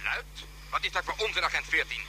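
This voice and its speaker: An actor playing a stern, menacing gang colonel in a radio drama, curt and commanding, heard as if over a radio link. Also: male voice, a little high, lively intonation, fast, bright, forceful tone. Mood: stern, impatient. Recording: noisy, humming radio-link sound.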